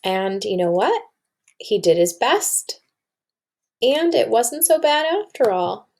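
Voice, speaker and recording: A woman's voice: fairly high pitch, very animated, slow pace, vocal fry, a little mellow. A woman reading a storybook aloud to children and explaining it like a teacher, with questions to the listeners.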